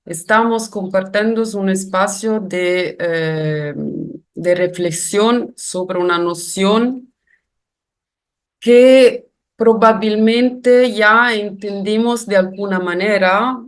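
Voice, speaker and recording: A woman's voice: medium pitch, slow pace. A woman with an Italian accent giving a careful, reflective webinar lecture in a non-native language, with frequent hesitations. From Italy. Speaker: guest lecturer.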